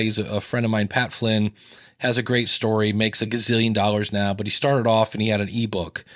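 A man guesting on a podcast interview, telling stories from his own life in a casual, animated way and jumping up in pitch when he quotes people. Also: no echo, clean studio sound. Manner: storytelling, animated with rising pitch in quoted lines.

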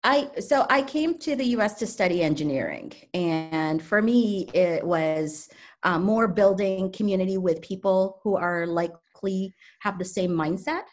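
A woman's voice: mid-range voice, a little slow. A woman in her thirties from Kuwait, a realtor answering interview questions in a thoughtful way, with some restarts. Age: 30s. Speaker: realtor guest.

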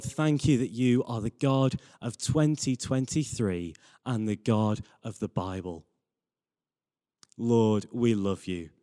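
A man's voice: lowish voice, mellow. Young British man preaching, long hall reverb. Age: young adult. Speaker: church intern preaching. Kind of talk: sermon with Bible reading.

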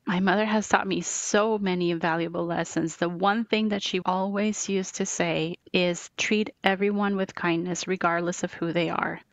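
A woman's voice: fairly low pitch, steady tone, normal speed, hardly any pauses, dry recording. A middle-aged woman from Colombia answering interview questions earnestly, often repeating words and checking in with "right?". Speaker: interview guest.

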